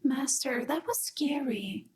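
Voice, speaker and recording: A woman playing a timid, devoted young female assistant, soft and deferential, in a sci-fi audio drama. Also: sits high, expressive, slow.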